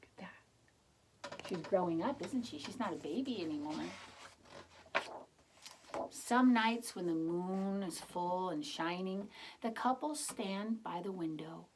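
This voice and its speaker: A woman reading a storybook aloud to young children, expressive, with simple explanatory asides and gentle questions. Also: lowish voice, slow, long pauses, background noise.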